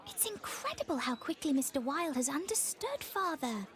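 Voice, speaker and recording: A very high-voiced, polite young girl. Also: sing-song, moderate pace. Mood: polite, pleased. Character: polite young girl, sweet daughter of the detective. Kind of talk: dramatic video game dialogue.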